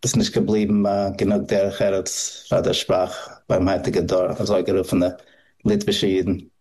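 A man interviewing, asking questions slowly while groping for words. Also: deep voice, little pitch movement, darkish tone, slightly rough. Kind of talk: conversational interview.

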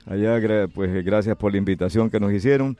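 Low, dark-voiced radio host. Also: male voice, no room sound. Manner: halting, many fillers and restarts, chummy. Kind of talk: casual live radio chat, reading listener greetings. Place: radio studio.

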